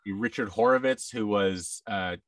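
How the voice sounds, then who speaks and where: Low-voiced American man, slightly off-mic. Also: a little slow, a bit bright, dry recording, clean studio sound, recorded from a distance. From United States. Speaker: guest, voice actor and audio drama producer. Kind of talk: casual interview conversation. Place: large office room, indoors. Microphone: headset with boom-arm microphone on a video call.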